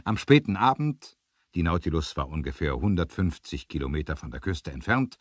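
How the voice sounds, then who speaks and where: A male narrator with a very low voice tells an adventure story as scripted radio play narration. His delivery is dramatic, and the sound is close and dry. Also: big pitch swings, moderate pace, no echo.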